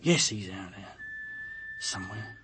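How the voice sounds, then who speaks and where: A man's voice: deep voice, expressive, a little slow, background noise. A man playing a weary, haunted police detective in a radio drama, brooding and grimly emphatic. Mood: brooding, intense.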